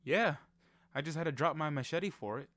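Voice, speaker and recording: A man playing an excitable, naive young rookie in an audio drama, easily startled and full of questions. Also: a little high, normal speed, natural voice. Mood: baffled.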